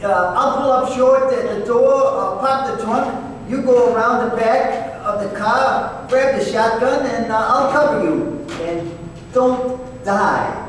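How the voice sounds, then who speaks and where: A man playing a terse, sardonic detective partner in an old-time radio drama, with a halting, deadpan delivery. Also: very high-pitched. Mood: deadpan, tense. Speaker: actor in a staged radio play. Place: live performance in a reverberant hall. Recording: very echoey hall, distant mic, faint noise.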